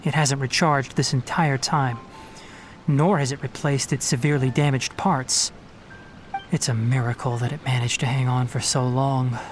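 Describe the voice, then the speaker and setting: A young man voicing a calm, rational forest ranger and scholar in a studio game, explaining in a measured way and giving firm instructions. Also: mid-range voice, lightly acted. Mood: businesslike. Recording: game audio with faint music underneath.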